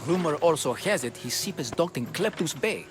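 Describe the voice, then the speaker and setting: Man playing a shrewd, higher-voiced shipbuilder. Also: animated, normal speed, noisy background, natural voice. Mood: wry warning. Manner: wry, haggling, cautioning. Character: shrewd, teasing male Greek shipbuilder. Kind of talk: video game cutscene acting.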